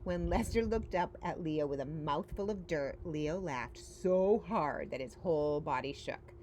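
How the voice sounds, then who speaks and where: A woman's voice: deep voice, measured pace, darkish tone. A woman reading a children's picture book aloud as a story-time reader, expressively and with dramatic emphasis. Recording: faint background noise.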